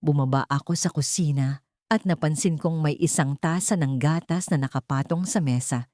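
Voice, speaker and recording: A woman's voice: sits low, normal speed, dark tone, dry recording, studio quality. A woman reading a scripted first-person suspense story as its narrator.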